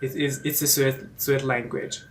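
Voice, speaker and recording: A man in his twenties from eastern Iran with a Persian accent, talking casually about himself in a personal vlog, pausing often with fillers and restarts. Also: mid-range voice, flat delivery, slight background noise. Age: 20s. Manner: hesitant, many fillers and restarts. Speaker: English teacher and student.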